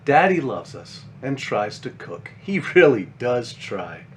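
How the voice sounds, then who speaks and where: A man's voice: mid-range voice, sing-song, takes it slowly, slight background noise. A man voicing a caring five-year-old big sister bunny from a children's picture book, explaining things simply and earnestly.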